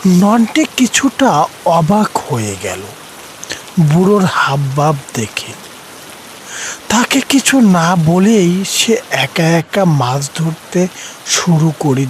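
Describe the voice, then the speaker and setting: A man reads a scripted horror tale in a dramatic style. His voice is a little high and moves widely in pitch, and the delivery is very slow. Faint rain noise runs behind him. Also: low-quality recording. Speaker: storyteller.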